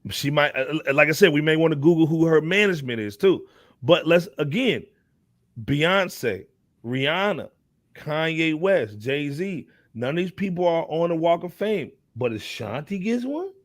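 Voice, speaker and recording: A man with a fairly high voice speaks in a dry, echo-free sound. He gives casual commentary as a talk show panelist, in animated slang, and keeps checking that he is being followed. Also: moderate pace. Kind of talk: casual hip hop commentary.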